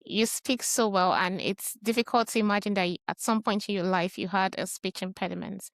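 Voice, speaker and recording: A woman's voice: mid-range voice, steady tone, fairly quick, no room sound. A woman hosting a podcast, introducing her guest and asking questions in a friendly way. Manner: friendly, laughs often, reads intros. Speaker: podcast host.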